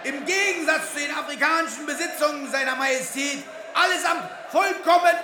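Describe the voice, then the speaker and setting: A man's voice: very high voice, a little fast. An actor playing an agitated male political orator, bellowing declamatory speeches in a radio drama. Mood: scornful, fervent. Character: agitated political orator railing against German colonies. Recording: roomy hall, loud crowd noise.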